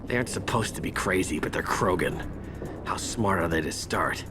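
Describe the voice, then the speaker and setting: An actor playing a wounded mercenary grunt in a video game, in pain, stammering and complaining bitterly. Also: male voice, sits low, lively intonation, moderate pace, over music. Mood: grumbling, strained. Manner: sweary, stammering, whining complaints. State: in pain, bleeding. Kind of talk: video game cinematic acting.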